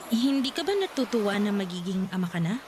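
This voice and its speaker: A woman's voice: mid-range voice, big pitch swings, brisk, lightly acted. A woman acting a hurt, indignant, pregnant young lover in an emotional Tagalog radio drama. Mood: hurt, pleading. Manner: emotional, pleading. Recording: clearly noisy background ambience.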